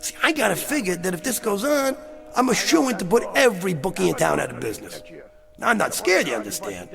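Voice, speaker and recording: An actor playing a brash, slangy, fast-talking gambler with a gravelly voice in a radio drama. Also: male voice, high voice, big pitch swings, music in the background, exaggerated voice. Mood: cocky, scheming. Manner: slangy, wisecracking, cajoling. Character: brash, fast-talking gambler, agitated man out of his time. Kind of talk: radio drama acting and first-person narration.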